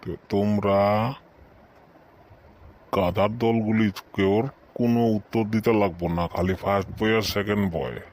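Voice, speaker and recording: Man playing a bossy, low-voiced village schoolteacher. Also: moderate pace, a little mellow, natural voice. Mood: bossy scolding. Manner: scolding, repetitive nagging. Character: bossy, flustered village schoolteacher scolding dim pupils. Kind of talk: comic cartoon dialogue.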